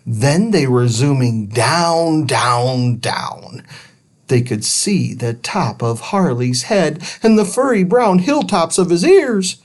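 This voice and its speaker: Slow, very animated male storybook narrator. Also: on the high side. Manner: dramatic, with stretched words for suspense. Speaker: storybook reader. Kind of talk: expressive children's audiobook narration.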